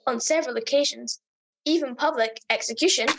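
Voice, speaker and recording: A woman's voice: sits high, brisk, gravelly, loud music behind the voice. A woman playing an earnest, mock-grave history presenter in a scripted radio comedy.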